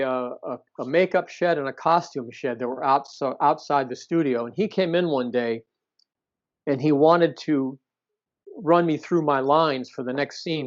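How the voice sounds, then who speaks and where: A man with a medium-pitched voice speaks as an interview guest, recounting past experiences. He talks haltingly, doubling back on words to rephrase them. The recording sounds dull and lo-fi.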